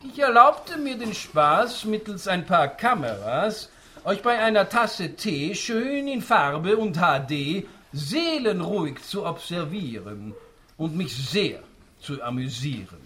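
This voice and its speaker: A gloating, spiteful old man of wealth in a rhymed radio drama. His voice sits fairly high and leaps around animatedly. He takes his time, savoring every sneer. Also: very animated, slow, sharp tone, slight background noise, heavily acted. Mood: smug, amused. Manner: theatrical, sneering, speaking in rhymed verse. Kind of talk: rhymed radio play monologue.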